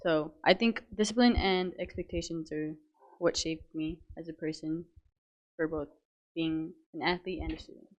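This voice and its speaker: A woman's voice: low voice, normal speed, highs cut off. A young woman student athlete answering interview questions casually, with easy laughs and lots of filler phrases. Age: young adult. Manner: relaxed, laughs easily, lots of "you know".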